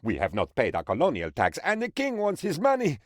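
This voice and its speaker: Comic game role: sycophantic male aide to a tropical strongman. Exaggerated, highly animated, mid-pitched, close dry studio sound. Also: brisk, no room sound. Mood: indignant, mocking. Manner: theatrical, exaggerated, fawning. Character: excitable, sycophantic male political aide to an island dictator. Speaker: adviser character briefing the player. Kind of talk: comic video game character voice-over.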